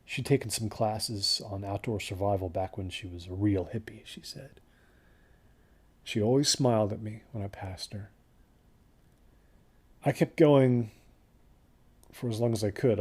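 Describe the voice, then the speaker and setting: A man's voice: fairly low pitch, steady tone, moderate pace, long pauses, darkish tone, husky. A man playing an uneasy hiker who recounts a bad desert trip in a conversational, reflective way for an audio drama. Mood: uneasy recollection. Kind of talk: first-person audio drama monologue.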